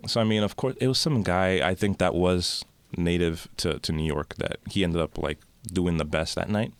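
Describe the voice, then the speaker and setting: A man's voice: low voice, moderate pace, smooth, dry recording. A young Canadian man telling stories as a guest in a casual interview, with loose, restarting sentences. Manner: relaxed storytelling with frequent restarts. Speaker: guest singer.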